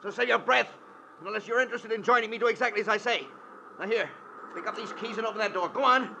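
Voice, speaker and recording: Desperate guy, high gravelly voice, bitter n bossy, old hissy radio drama. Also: fast. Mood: desperate, commanding. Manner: tense, commanding, declamatory. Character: desperate cornered husband, bitter, resolute, threatening suicide. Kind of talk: 1940s radio melodrama acting. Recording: muffled, noisy vintage radio recording.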